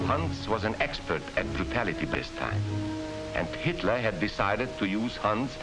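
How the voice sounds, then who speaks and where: A man's voice: on the low side, sing-song, normal speed, rough recording. An actor playing an earnest Hungarian American man who narrates a 1940s propaganda film with emphatic, grave storytelling. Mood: earnest, warning. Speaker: narrator of a dramatized educational film. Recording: 1940s film soundtrack with loud music.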